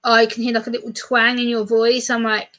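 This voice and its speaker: A woman from the UK chatting fast and animatedly on a casual live stream, co-hosting and reading out viewer comments. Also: a little high, level tone, bright, forceful tone. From United Kingdom.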